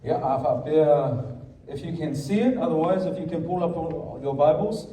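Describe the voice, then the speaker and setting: A man's voice: on the high side, moderate pace, warm tone, slight background noise. A man with a Samoan accent preaching a sermon in church, switching between Samoan and English and calling the congregation to answer amen. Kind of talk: bilingual sermon. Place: church hall. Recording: echoey church from a distance.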